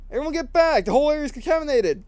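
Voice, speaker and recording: A man's voice: very high voice, expressive, very fast, a little mellow, no room sound, voice pushed up. A man voicing a frantic, determined woman in a sci-fi game, urgent and insistent. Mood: alarmed.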